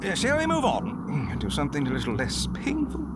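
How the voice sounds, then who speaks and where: An actor voicing a long-suffering, formally polite servant in an animated game. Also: mid-range voice, brisk, heavy background noise. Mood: dutiful, wary. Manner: formal, deferential. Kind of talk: animated game cutscene acting. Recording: loud music behind the voice.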